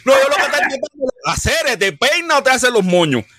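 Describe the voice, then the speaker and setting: Crude mocking man, high voice. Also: big pitch swings, fast, bright, forceful tone. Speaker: livestream host. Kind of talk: mocking live commentary.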